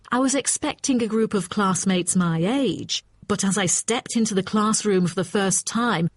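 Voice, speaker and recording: A woman's voice: fairly high pitch, no echo, studio quality. A woman playing an earnest, appreciative nursing student in a scripted exam dialogue.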